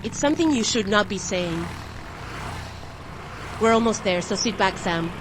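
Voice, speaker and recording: A woman playing a protective, gently teasing mother, firm but affectionate, in a radio drama. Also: medium pitch, expressive, normal speed, lightly acted. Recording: noisy car and outdoor ambience.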